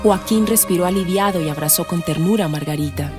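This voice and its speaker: A woman's voice: fairly low pitch, fast talker. A woman narrating a scripted radio drama. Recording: music behind the narration.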